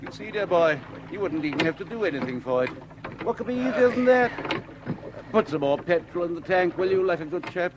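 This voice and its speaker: An actor playing a suave, condescending villain in a radio drama, urbane and patronising with old-fashioned upper-class phrasing. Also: male voice, sits high, expressive, fairly quick, background noise. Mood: smooth, smug. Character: suave, condescending male villain, ruthless and money-minded.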